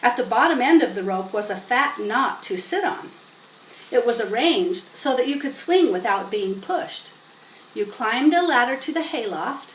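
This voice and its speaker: A woman reading a children's story aloud in an expressive, unhurried way with dramatic emphasis. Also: a little high. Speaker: storybook reader. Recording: roomy, distant mic with faint noise.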